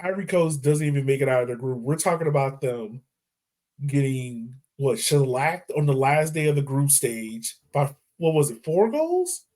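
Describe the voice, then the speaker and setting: A man hosting a podcast in relaxed, chatty sports talk, often laughing and dropping casual fillers. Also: medium pitch, normal speed. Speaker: podcast host.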